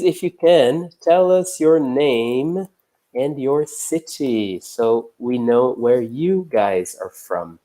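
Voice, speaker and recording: Chatty man teaching English live. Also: medium pitch. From Brazil. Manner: relaxed, chatty, frequent uh and um. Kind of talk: live online English lesson chat.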